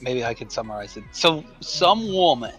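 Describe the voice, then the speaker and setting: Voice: mid-range voice, very animated, normal speed, a bit bright, poor audio. A person of unclear sex chatting casually on a livestream in a blunt, sweary way. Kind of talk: casual chat. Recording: music playing behind the voice. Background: music.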